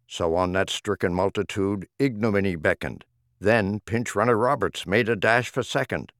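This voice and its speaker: A man's voice: lowish voice, moderate pace, a bit bright, no echo, studio quality. A man reading humorous rhyming poems as an audiobook narrator, with a theatrical, rhythmic delivery. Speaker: audiobook reader.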